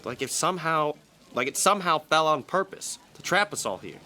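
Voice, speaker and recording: A bright, tense voice, a man acting a gang boss in a radio play. He is guarded and worn out, speaking curtly with dry exasperation. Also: on the high side, expressive, brisk. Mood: exasperated, brooding. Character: weary, guarded male gang leader putting up with girlfriend. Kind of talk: period audio drama acting.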